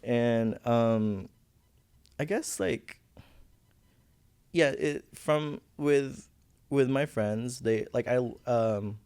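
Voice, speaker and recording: Young guy, visayan, talks slow and halting with a gravelly edge, searching for words. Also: medium pitch. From Philippines. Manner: soft-spoken, halting, searching for words, laughs nervously. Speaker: podcast guest. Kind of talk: personal story in casual interview.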